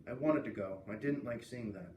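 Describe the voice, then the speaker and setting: Male storyteller for a children's read-aloud: expressive, medium pitch, roomy sound with faint noise. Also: normal speed, distant mic, dull audio.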